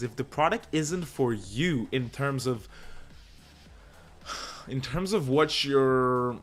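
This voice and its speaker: A man with a French accent, co-hosting a casual podcast and rambling through his thoughts with restarts. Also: a little high. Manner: relaxed, rambling with restarts and laughter. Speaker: podcast co-host. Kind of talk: casual chat.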